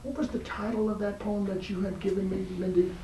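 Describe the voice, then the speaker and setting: A man hosts a casual interview in a halting, informal manner. His voice is slightly high, dark in tone and creaky. The recording is distant and roomy, with faint noise behind him. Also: flat delivery. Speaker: interview host. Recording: echoey, distant mic, background room noise.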